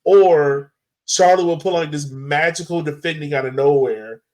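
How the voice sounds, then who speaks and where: A man hosting a casual sports podcast, talking in an excitable, slangy way. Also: on the high side, normal speed, rough recording. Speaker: podcast host.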